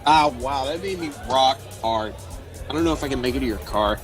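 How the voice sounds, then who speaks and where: A man's voice: mid-range voice, brisk, soft background music, close to an everyday voice. An actor playing a blunt, flirtatious man who talks like a probing amateur therapist in an audio drama. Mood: flirtatious, crude. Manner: frank, probing, explanatory.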